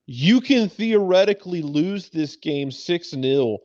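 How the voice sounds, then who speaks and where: A man's voice: fairly high pitch, lively intonation, slow pace, a little mellow, no echo. A man in casual sports chat as a panelist, blunt and repeating his phrases as he argues a point. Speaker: sports talk show panelist. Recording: dull, band-limited sound.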